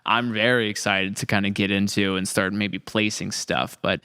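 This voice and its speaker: A man's voice: lowish voice, fairly even intonation, normal speed, pushed and bright, smooth. A man hosting a podcast, chatting casually and joking playfully with the group. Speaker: podcast host.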